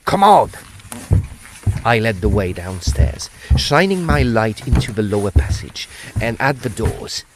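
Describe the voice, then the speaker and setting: A man's voice: lowish voice, very animated, a little slow, noisy background. An actor playing a grave, intrepid ghost hunter, narrating his own ghost story in a theatrical, suspenseful way. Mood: urgent, investigating. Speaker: actor narrating an audio drama. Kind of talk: dramatic first-person ghost story narration.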